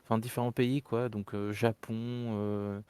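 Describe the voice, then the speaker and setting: A man's voice: on the low side, level tone, measured pace, darkish tone, smooth, dry recording. A man hosting a casual discussion podcast, hesitant and full of fillers. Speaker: podcast host. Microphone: home microphone on voice chat.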